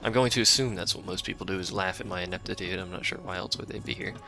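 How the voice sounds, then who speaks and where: A man with a deep voice giving casual, rambling commentary while he plays a game and chats with his stream viewers, offhand and self-deprecating. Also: quick pace, gravelly, bright, forceful tone, soft background music. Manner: chats with a live stream chat. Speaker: let's play streamer.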